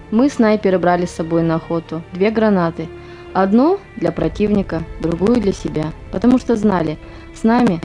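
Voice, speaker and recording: A woman's voice: lowish voice, dark tone, close to an everyday voice. A woman playing a sober wartime sniper recounting her memories in an amateur audio play. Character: sober female war sniper recalling her first hunt.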